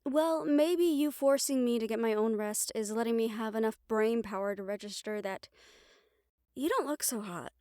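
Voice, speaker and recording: A woman's voice: on the high side, moderate pace, dark tone, dry recording, clean studio sound, natural voice. A woman playing a caring, teasing siren-banshee in an intimate audio roleplay, speaking with playful affection. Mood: concerned, tender. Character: teasing, caring female siren-banshee, fond of her partner.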